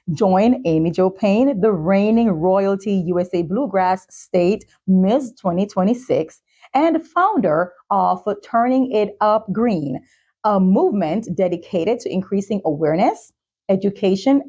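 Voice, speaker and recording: A woman speaking as the host of a talk show and podcast, with a husky voice. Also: medium pitch, darkish tone. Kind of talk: scripted intro and sponsor reads, then supportive interviewing.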